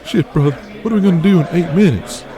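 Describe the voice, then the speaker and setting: A man's voice: on the high side, fast, a crowd in the background, voice pushed up, close to an everyday voice. A man voicing easygoing 1980s pro wrestlers talking casually backstage in an audio drama. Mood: concerned, friendly. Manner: casual locker-room talk. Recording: music under the voice.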